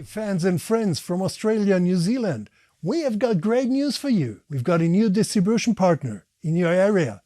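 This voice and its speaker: A man's voice: sits high, dark tone, no room sound. A man giving a promotional announcement as a guest announcer.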